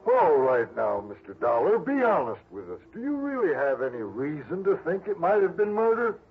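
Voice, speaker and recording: An actor playing an anxious, formal business partner in a 1960s radio drama. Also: male voice, fairly high pitch, big pitch swings, normal speed, slightly rough, faint music behind, heavily acted. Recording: tinny old radio recording.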